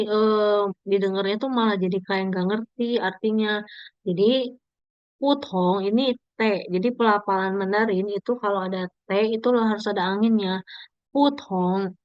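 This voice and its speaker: A woman teaching a language class, saying model sentences slowly and clearly for students to repeat, then explaining them. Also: mid-range voice, no room sound, lo-fi sound. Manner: slow, deliberate model sentences for learners to repeat. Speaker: Mandarin teacher. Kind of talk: language lesson, explaining in Indonesian.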